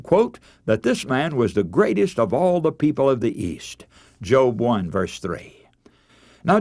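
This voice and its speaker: A man preacher delivering a radio sermon as dramatic Bible storytelling, in formal, measured, expressive oratory. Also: mid-range voice, dry recording, studio quality.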